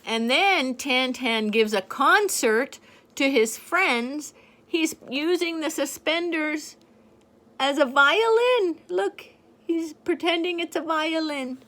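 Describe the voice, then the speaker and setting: An older woman reading a picture book aloud to a child in a gentle, playful way, pointing out the pictures as she goes. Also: high voice, slow pace. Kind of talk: storybook read-aloud to a child.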